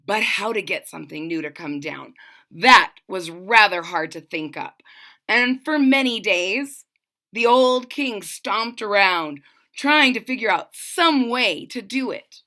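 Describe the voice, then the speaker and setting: A woman's voice: mid-range voice, lively intonation, slow pace, pushed and bright. A woman reading a children's picture book aloud expressively, with explanatory asides as a storyteller.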